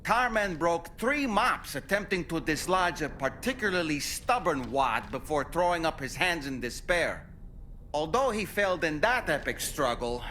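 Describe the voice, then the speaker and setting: A man's voice: a little high, bright, forceful tone, slight background noise, heavily acted. An actor playing a courteous, reflective ship's crewman in a video game, speaking formally and articulately in a musing way. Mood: wry, earnest. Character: thoughtful, courteous crewman aboard a ship, gently wondering. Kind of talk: video game character monologue.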